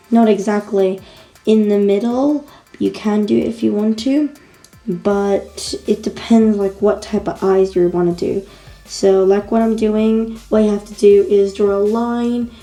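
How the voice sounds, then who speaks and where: Croaky woman host, very dark mellow tone, casual drawing tutor, faint music under. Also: mid-range voice. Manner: chatty, repeats instructions with fillers. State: croaky, losing her voice.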